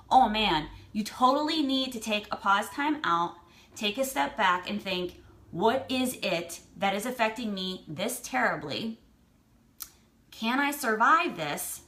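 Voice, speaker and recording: American woman vlogger doing motivational advice, kinda high voice, blunt, really stresses stuff and repeats it. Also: a bit bright. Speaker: vlogger giving personal advice. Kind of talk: motivational advice talk to camera.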